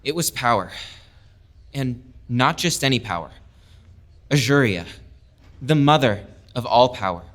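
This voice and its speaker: Impassioned young officer. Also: male voice, mid-range voice, lively intonation, measured pace, long pauses, a bit bright. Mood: earnest, rousing. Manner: impassioned, oratorical. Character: earnest young male military lieutenant, proud outsider pleading his cause. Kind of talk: full-cast audio drama speech.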